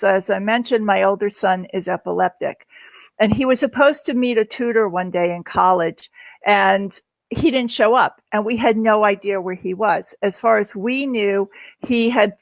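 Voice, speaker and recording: A woman's voice: mid-range voice, gravelly, no echo. A middle-aged woman telling personal stories conversationally as a parent guest, explaining things in a rambling way. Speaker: guest parent sharing experience. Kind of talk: conversational personal storytelling in a live Q&A.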